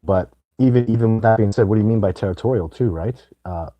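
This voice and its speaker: Man, hoarse raspy voice, very deep, rambling emphatic live chat. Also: quick pace, no echo, muffled recording. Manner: rambling, emphatic, many false starts. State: hoarse, sore throat. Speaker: livestream host answering viewer questions.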